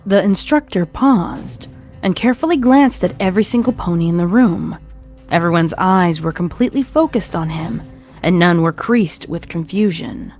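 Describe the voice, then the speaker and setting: A woman's voice: on the low side, expressive, normal speed, a little mellow. A woman reading a scripted story as its narrator, in a dramatic storytelling style. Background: faint music.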